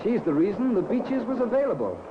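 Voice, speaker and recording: Cold philanderer, swooping pitch. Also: male voice, very animated, fairly quick. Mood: matter-of-fact. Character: cold, impatient philandering married lover. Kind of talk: 1950s radio drama acting. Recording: muffled, noisy 1950s radio recording.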